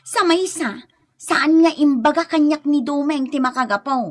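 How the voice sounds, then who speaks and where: A woman's voice: high-pitched, moderate pace, lightly acted. A woman playing an exasperated, insistent young wife in an Ilocano radio comedy drama. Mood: complaining. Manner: scolding, insistent, sharp. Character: Rona, exasperated young wife scolding her husband.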